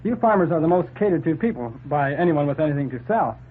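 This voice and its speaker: An actor playing an eager city reporter in a 1940s radio drama, with a slightly husky voice. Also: male voice, a little high, lively intonation, fast talker. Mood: questioning. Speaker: reporter hero and narrator. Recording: tinny 1940s radio recording.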